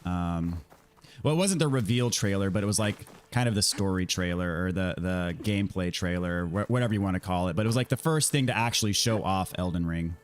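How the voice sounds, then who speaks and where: Slightly raspy, low-pitched American male streamer. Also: brisk. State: scratchy throat. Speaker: video game streamer. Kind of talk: casual livestream chat answering viewers while gaming.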